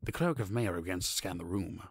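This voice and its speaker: A man's voice: deep voice, fast talker, gravelly, breathy edge, no room sound, clean studio sound. A man reading scripted narration for a fantasy audio drama.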